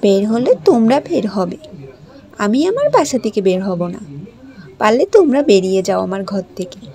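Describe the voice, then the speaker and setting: A woman's voice: mid-range voice, very animated, moderate pace. A woman voicing a hot-tempered but kind village girl in a cartoon, blunt and defiant. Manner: blunt, defiant, sharp-tongued. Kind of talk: cartoon voice acting.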